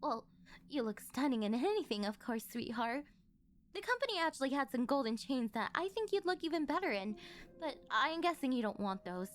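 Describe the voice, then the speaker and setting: A woman's voice: high voice, big pitch swings, fairly quick. A woman playing a rich, possessive older yandere girlfriend in a scripted ASMR roleplay, coaxing sweetly and rambling a little awkwardly. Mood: coaxing, affectionate.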